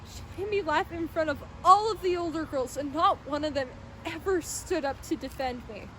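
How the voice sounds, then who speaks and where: A woman's voice: sits high, normal speed, bright, forceful tone. A young woman acts out a bullied teenage girl, bitter and wistful. She speaks her stage-play lines with haunted weariness. The recording carries plenty of outdoor background noise. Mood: hurt. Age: teens. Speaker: youth theatre student actor. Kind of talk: staged one-act play dialogue and monologue.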